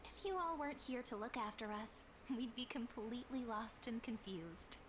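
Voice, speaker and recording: Actress as young woman traveler, game heroine, high voice, inquisitive, courteous, expressive, noisy background. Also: normal speed, natural voice. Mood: friendly, curious. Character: curious, friendly young female traveler. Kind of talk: scripted video game voice acting.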